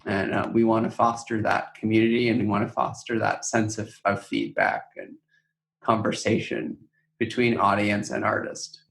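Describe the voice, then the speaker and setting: A man co-hosting a podcast, speaking conversationally in a thoughtful, measured manner. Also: on the low side, creaky, a bit bright, low-quality recording. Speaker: podcast co-host. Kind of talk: conversational podcast talk about a theater project.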